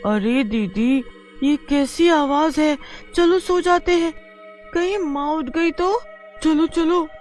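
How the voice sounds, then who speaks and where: A woman's voice: high voice, slow pace, darkish tone, faint music behind, pitched above the performer's own voice. A woman voicing a timid, questioning young village girl with a fretful, childlike manner in a storybook tale. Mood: worried. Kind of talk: storybook character voice.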